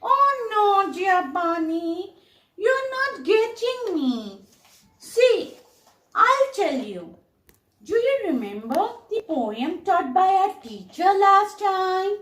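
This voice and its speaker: A woman's voice: very high voice, big pitch swings, a bit bright, exaggerated voice. A woman voicing a caring, teacherly young girl puppet in a children's puppet show. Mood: caring, encouraging. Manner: slow, emphatic, coaxing and teacherly. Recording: echoey room.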